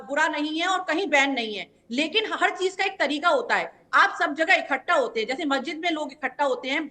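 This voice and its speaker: A woman's voice: high voice, bright, forceful tone. A woman party spokesperson arguing assertively on a TV news panel, laying out legal points one by one. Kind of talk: debate argument on a news panel.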